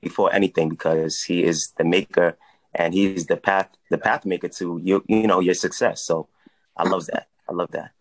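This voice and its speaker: A man in his thirties with a lowish voice co-hosts over a video call from his home. He talks in an upbeat, affirming way and keeps repeating his praise. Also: fairly even intonation, normal speed. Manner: enthusiastic, repeats "I love that". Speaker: podcast co-host. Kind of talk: casual podcast interview.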